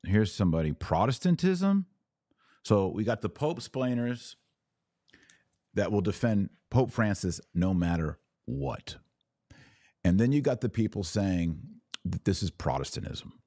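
A man with a low-pitched voice delivers opinionated religious commentary as a podcast host. He is emphatic and argumentative, stretching out the words he wants to stress. The sound is dry, with very little room around the voice. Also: big pitch swings, measured pace, long pauses, mellow, no echo.

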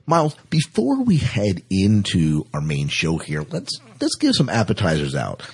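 A man with a low, husky voice hosts a podcast in a casual, chatty way. His pitch moves around a lot, giving him an animated, playful delivery. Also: measured pace. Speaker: podcast host. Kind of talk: casual, chatty science fiction podcast hosting.

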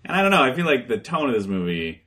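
A man co-hosting a podcast, thinking out loud in a wry, rambling way. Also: mid-range voice, very animated, quick pace. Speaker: podcast co-host. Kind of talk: casual chat.

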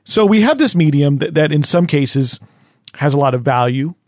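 A man's voice: fairly high pitch, lively intonation, moderate pace, darkish tone, no room sound. Man, host, dry close sound, thoughtful long questions, fillers. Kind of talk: interview questions.

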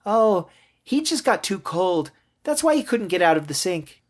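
An innocent, earnest little girl from a storybook, as acted out by a male narrator, speaks in a raised, childlike voice. The delivery is pleading and wide-eyed, with lively swings of pitch. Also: a little slow. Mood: worried, insistent.

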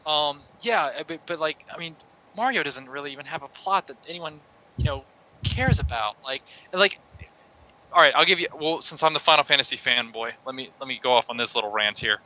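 A man on a podcast panel in a casual debate, ranting in an animated, mildly profane way. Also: on the high side, fairly quick, low-quality recording.

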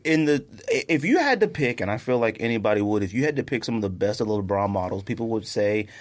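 An American man co-hosting a podcast, chatting casually and telling stories in a slangy, animated way. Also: fairly low pitch, fast. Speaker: podcast co-host. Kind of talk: casual sneaker podcast chat.

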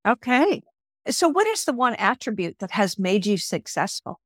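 A woman co-hosting an interview, asking questions in an animated, friendly way. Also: mid-range voice, normal speed, dry recording, clean studio sound. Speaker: interview co-host.

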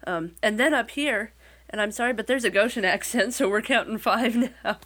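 A woman's voice: a little high, moderate pace, fairly bright tone. A woman with a Midwestern American accent, talking casually as an interview guest and telling things in a wry, lightly joking way. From Midwestern United States.

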